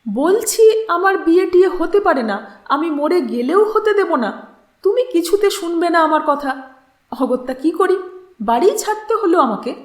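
Woman reading as defiant young woman, high-voiced. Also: expressive, a little slow, reverberant. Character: stubborn, defiant grown daughter, earnest and wounded.

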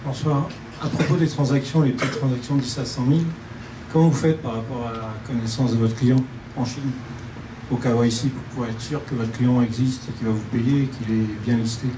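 A man's voice: mid-range voice, fairly even intonation, fairly quick. A man in a meetup audience asking a spontaneous question. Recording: distant, echoey hall, room noise.

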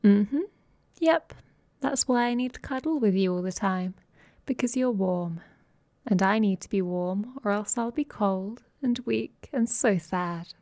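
A woman's voice: mid-range voice, a little slow, darkish tone, no echo, natural voice. A woman playing a playfully dominant, affectionate vampire girlfriend in an intimate ASMR roleplay, cooing and teasing, often dropping toward a whisper.

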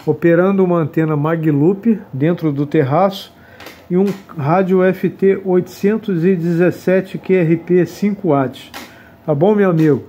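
A man's voice: sits high, measured pace, mellow, breathy edge. A man, chatting casually and courteously as an amateur radio operator. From Brazil. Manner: friendly, courteous, repeating sign-offs. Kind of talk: casual radio contact talk.

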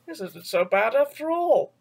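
A woman voicing a reserved, skeptical male panther from a storybook in a measured, protesting manner. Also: high-pitched, sing-song, vocal fry, a little mellow, heavily acted. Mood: admitting.

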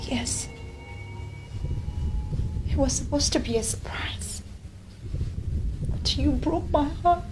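A jilted, pregnant woman, very high-pitched, slow and accusing, vengeful; score music under the film drama. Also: lively intonation, heavily acted. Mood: bitter. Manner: bitter, accusing, explosive when angered.

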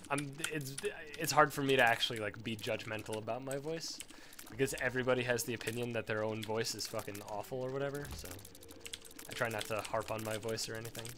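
A man's voice: mid-range voice, steady tone, fast talker. Rambling young man gaming, hiss behind. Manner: rambling, self-deprecating, swears at the game. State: sore throat. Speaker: let's play commentator. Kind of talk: casual gameplay commentary.

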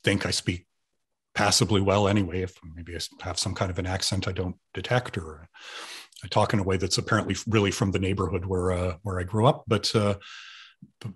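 A man's voice: low-pitched, steady tone, a little fast, husky. A man in his fifties from Serbia, whose first language is Serbian, answering interview questions on a video call, hesitant with many restarts. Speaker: guest artist. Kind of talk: thoughtful conversational interview. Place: home room with sofa.

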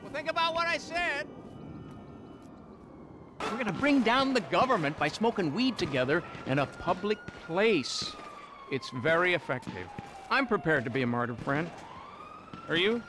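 A man's voice: sits high, sing-song, moderate pace, long pauses. A man acting in a video game cutscene as a zealous, rambling stoner activist who campaigns for legalization in an earnest, grandiose way. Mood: fervent, persuasive. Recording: game scene with street noise.

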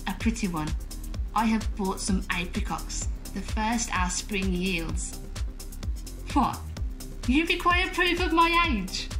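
A sly, sharp-tongued court schemer played by a woman speaks sardonic old verse at a medium pitch. Music plays clearly underneath. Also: animated. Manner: sardonic, deliberate verse delivery. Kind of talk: Jacobean audio drama acting.

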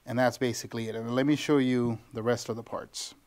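A man's voice: medium pitch, steady tone, a little fast, a little mellow. A man in his thirties, a mechanic, giving a loose, conversational how-to walkthrough in a small office, filmed on a handheld camera close to his face, with lots of ums and restarts. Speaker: tuning shop mechanic. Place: small office with bare walls, indoors.

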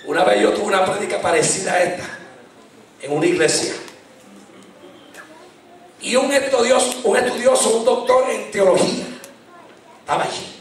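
A middle-aged man preaching a fervent sermon into a handheld mic in a small church, shouting at the peaks and dropping low in between. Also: distant mic, slight background noise. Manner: impassioned preaching, shouting at climaxes. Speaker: pastor. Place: small church sanctuary, indoors. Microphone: handheld mic, filmed from far. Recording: very echoey church from afar.

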